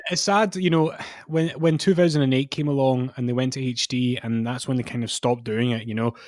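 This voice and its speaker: A man's voice: medium pitch, fairly even intonation, fairly quick, darkish tone, no room sound, clean studio sound. A man co-hosting a podcast, chatting casually with stammered restarts and fillers. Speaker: podcast co-host. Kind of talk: casual chat.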